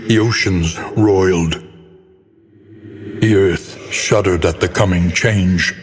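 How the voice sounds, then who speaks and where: An actor playing a grim, haunted scholar who narrates cosmic horror in a grave, ornate, deliberate manner for a game. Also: male voice, sits low, husky, heavily acted. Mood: ominous. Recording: long hall reverb, noisy ambient bed.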